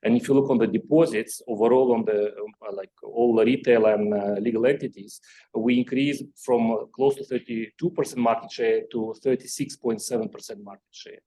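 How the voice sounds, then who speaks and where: A man who is a non-native English speaker, presenting financial results as a company executive in an explanatory, measured way. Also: medium pitch, fairly even intonation, warm tone, low-quality recording. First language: not English. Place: conference call.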